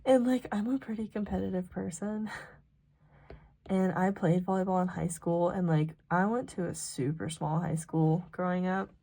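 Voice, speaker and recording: A woman's voice: fairly low pitch, fairly even intonation, moderate pace, warm tone. A young American woman chatting casually and ramblingly as she works on her planner, informal and self-deprecating, sometimes murmuring to herself. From rural South Dakota, US. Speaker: planner vlogger.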